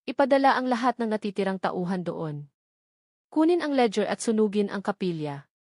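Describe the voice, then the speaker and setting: A woman's voice: mid-range voice, sing-song, normal speed, darkish tone, no echo. Filipino lady doing a ruthless mob boss guy giving orders, audio drama villain, dry recording. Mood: alarmed, commanding. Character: ruthless, alarmed male crime boss barking orders.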